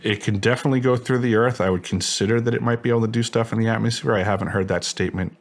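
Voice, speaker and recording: A man with a distinctly deep voice answers as an interview guest. He states things emphatically, in formal technical language, as if giving testimony. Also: steady tone, rapid-fire. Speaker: interview guest, former contractor.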